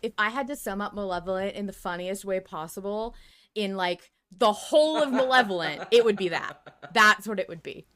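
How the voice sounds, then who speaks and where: A woman hosting a casual fan podcast, talking in an excitable, emphatic way and swearing freely. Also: medium pitch, a little fast. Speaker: podcast host.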